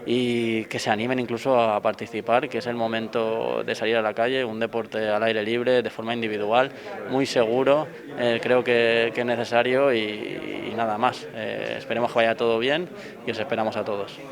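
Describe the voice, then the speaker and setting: A man with an accent from Spain giving an unscripted press interview as a local official, in halting phrases. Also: mid-range voice, flat delivery, gravelly, crowd noise. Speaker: town council representative.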